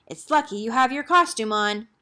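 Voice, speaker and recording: A storybook young girl played by a woman reading aloud, bossy yet a little nervous. Her voice is high and swings up and down with lots of expression. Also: animated, moderate pace, pushed and bright. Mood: earnest.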